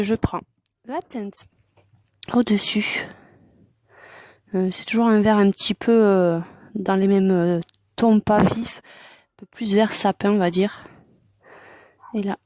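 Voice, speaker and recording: A woman's voice: mid-range voice, steady tone, slow, pauses often, a little mellow, no echo. A woman with a head cold hosting an informal hands-on colouring tutorial, murmuring hesitant, short step-by-step remarks as she works. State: congested with a cold.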